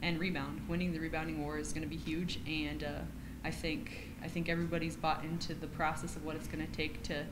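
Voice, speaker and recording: Deep, flat-voiced young woman athlete. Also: a little fast, noisy background. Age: young adult. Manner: earnest, steady, leans on fillers. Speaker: college basketball player. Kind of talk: press conference answers. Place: press conference room, seated at table. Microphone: shared table mic.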